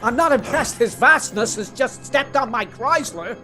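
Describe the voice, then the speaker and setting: A woman's voice: a little high, animated, moderate pace, over music, close to an everyday voice. A woman playing an earnest, easily flustered male professor, exclaiming melodramatically in a radio comedy parody of 1950s B movies. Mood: alarmed.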